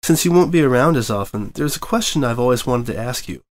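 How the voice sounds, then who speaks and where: A man's voice: mid-range voice, animated, quick pace, close to an everyday voice. A man voicing a meek, supportive young mare who works at a newspaper, in a fanfic audiobook reading. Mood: sweet.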